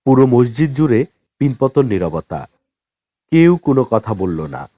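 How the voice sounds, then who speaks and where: A man narrating a novel as an audiobook in a measured storytelling manner. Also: mid-range voice, very animated, dark tone, dry recording.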